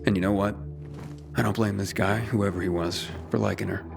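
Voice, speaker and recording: A wry young adventurer from a video game. His voice sits low with a gravelly fry, and he talks casually and teasingly, halting now and then, yet earnest. Music plays clearly behind him. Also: fairly even intonation. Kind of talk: naturalistic video game acting.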